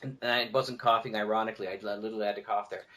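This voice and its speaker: A man's voice: on the low side, steady tone, rapid-fire, a bit bright, a little hiss or hum. Emphatic man chatting on a podcast. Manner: emphatic, repeats key words for stress. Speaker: podcast guest, audio drama podcaster. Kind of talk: casual podcast conversation.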